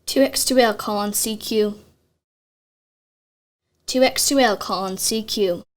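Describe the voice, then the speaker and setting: A woman's voice: medium pitch, a little slow, lightly acted. A young woman acting a lonely, anxious radio operator calling into silence in a radio drama. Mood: searching, forlorn.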